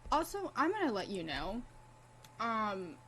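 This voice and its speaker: A woman's voice: a little high, sing-song, unhurried, sharp tone, slight background noise, low-quality recording. A young woman streaming a game in casual commentary, blunt, profane and impassioned as she talks.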